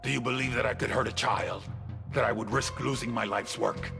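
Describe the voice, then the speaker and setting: An actor playing a brooding, menacing, proud cartel boss, speaking gravely and with command in a dramatic scripted style. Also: male voice, medium pitch, steady tone, brisk, over music. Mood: stern, demanding. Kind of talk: dramatic scripted narration and dialogue.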